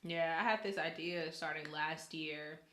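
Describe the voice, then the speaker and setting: A woman's voice: low-pitched, steady tone, unhurried, fairly bright tone, roomy sound. A woman co-hosting a podcast, talking casually and colloquially. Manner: colloquial, informal. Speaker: podcast co-host; news anchor. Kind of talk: casual chat.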